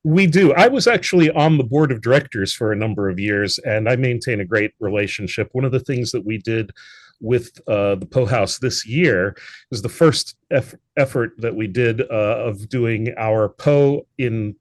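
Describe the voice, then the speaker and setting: A man's voice: medium pitch, moderate pace, dry recording. An articulate, enthusiastic man speaking conversationally as a guest in an interview. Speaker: interview guest, theatre founder and producer.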